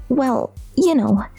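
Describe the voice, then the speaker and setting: A woman playing a prickly teenage schoolgirl with a high, lively voice who speaks slowly. She is huffy and defensive and stammers when flustered, with faint music under her. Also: heavily acted. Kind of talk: fan dub of a visual novel.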